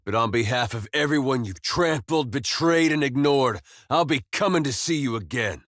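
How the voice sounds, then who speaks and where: A man's voice: on the low side, lively intonation, moderate pace, no room sound, close to an everyday voice. A man playing an easygoing, loyal farm-boy soldier in a video game, with an American accent and a folksy, plainspoken, wry manner. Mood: angry, reproachful. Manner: folksy, laid-back drawl, dry humor. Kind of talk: scripted video game dialogue.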